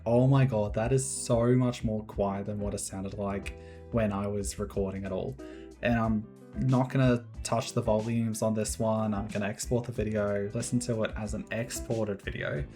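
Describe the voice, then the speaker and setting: A man hosting his own Minecraft building videos, chatting casually in a rambling, monotone way and laughing often. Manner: monotone, fairly even pitch. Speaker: Minecraft builder and channel host. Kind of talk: casual gameplay commentary and voiceover.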